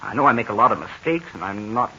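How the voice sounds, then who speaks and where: A man's voice: lowish voice, expressive, fast talker, bright, forceful tone, exaggerated voice. An actor voicing an earnest, eager teenage boy sidekick in 1940s radio acting, full of wide-eyed exclamations. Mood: heartfelt. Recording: hissy, muffled 1940s radio transcription.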